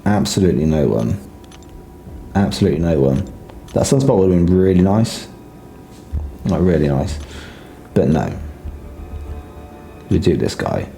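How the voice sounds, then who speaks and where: A man's voice: very animated, a little slow, gravelly, pauses often, dark tone, faint music behind. A man with a sore throat giving casual, hesitant game commentary full of ums. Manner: hesitant, filler-heavy, low-key. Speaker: gaming guide creator. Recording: roomy echo.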